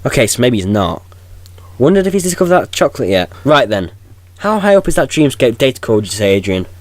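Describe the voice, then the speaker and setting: A man's voice: medium pitch, very animated, fast talker, no echo, lightly acted. A man playing a flippant, eccentric time-travelling hero in an audio drama, glib and insistent.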